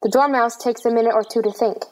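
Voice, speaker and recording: Female narrator for a dramatized play, slightly high register, scripted delivery. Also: steady tone, quick pace, darkish tone. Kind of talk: scripted narration of stage directions.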